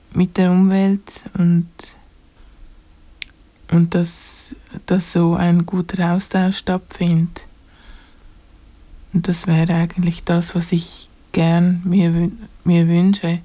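A woman speaking slowly and evenly, closing her remarks with a soft, measured delivery. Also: on the low side.

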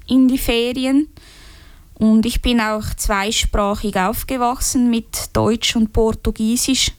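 Slow halting woman. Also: on the high side, clean tone, dry recording. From Switzerland. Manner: slow, halting, many fillers and restarts. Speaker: speaker in a group talk. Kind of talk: unscripted personal talk.

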